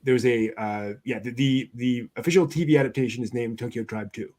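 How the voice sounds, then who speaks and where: A man hosting an anime news show, chatting casually through items with a somewhat halting delivery. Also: medium pitch, a little mellow.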